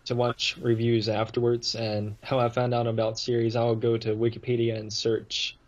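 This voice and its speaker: A man's voice: medium pitch, completely flat delivery, normal speed, lo-fi sound. A man chatting casually as a guest on a talk podcast, telling rambling stories in loose, colloquial grammar. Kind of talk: casual chat.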